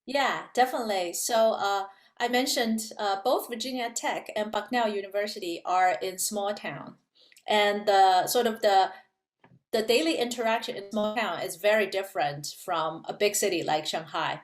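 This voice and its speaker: A woman's voice: mid-range voice, normal speed, echoey room. A woman professor from China, explaining things at an easy pace in a casual interview, with many fillers. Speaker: physics professor, guest.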